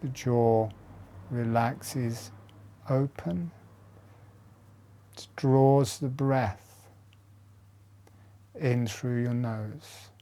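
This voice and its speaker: A man leading a guided breathing meditation as an instructor, in short, measured phrases with long pauses. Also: medium pitch, mellow.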